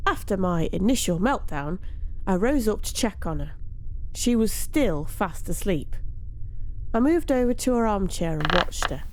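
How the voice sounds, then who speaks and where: A woman reading a first-person story aloud in an expressive, theatrical storytelling manner. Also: mid-range voice, unhurried, slight background noise, rough recording. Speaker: story narrator.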